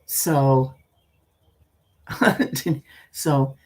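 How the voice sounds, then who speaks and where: Slow, husky older woman, folksy storyteller. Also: a bass voice, darkish tone. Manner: folksy, meandering, often trailing off and repeating. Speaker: storyteller and live stream host. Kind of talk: casual rambling chat with viewers; reading a spooky story aloud.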